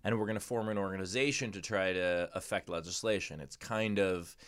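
Low, smooth-voiced man hosting a podcast. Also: brisk, fairly bright tone, dry recording. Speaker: podcast host and interviewer. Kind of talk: casual conversational interview. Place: studio.

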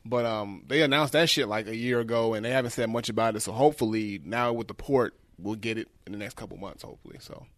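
A man's voice: on the low side, quick pace, few pauses. A man co-hosting a podcast, talking casually and informally about video games with slang and easy swearing. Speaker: podcast co-host. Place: podcast studio.

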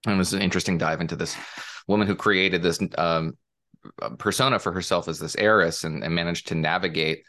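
A man chatting casually about television shows, pausing often with fillers and restarts. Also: deep voice, steady tone, sharp tone. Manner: hesitant, many fillers and restarts. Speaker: panelist. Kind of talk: casual podcast chat about TV.

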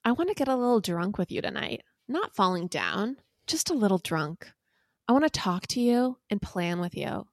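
A woman's voice: mid-range voice, sing-song, moderate pace, no room sound, clean studio sound. A woman co-hosting a podcast, telling a recap in a conversational, teasing and playfully dramatic way. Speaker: podcast co-host.

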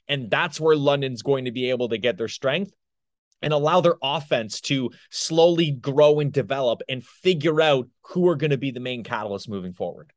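A man's voice: medium pitch, normal speed, dry recording, studio quality. A man co-hosting a sports podcast in casual, loose analysis, rephrasing as he goes.

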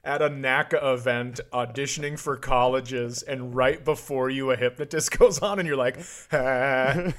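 A man's voice: mid-range voice, steady tone, a little slow, hardly any pauses. A man chatting and joking casually as a comedy podcast co-host.

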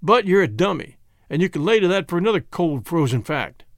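Man acting a scornful salty sailor, cocky, bossy, colloquial, animated delivery, dry studio-like sound, storybook adventure. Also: sits high, brisk, no room sound. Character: cocky, resourceful old-salt sailor, scornful of landsmen.

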